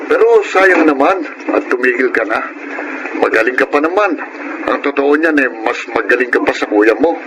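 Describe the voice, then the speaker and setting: An actor playing a friendly, encouraging boxing coach in a radio drama, with a hearty manner and a Filipino accent. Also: male voice, medium pitch, expressive, normal speed, lightly acted. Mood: reassuring. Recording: noisy radio drama audio.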